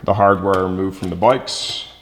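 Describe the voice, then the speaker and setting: A man presenting a hands-on mechanical how-to, instructive and often checking in with a quick "okay". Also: sits low, fairly even intonation, long reverb tail, a little hiss or hum.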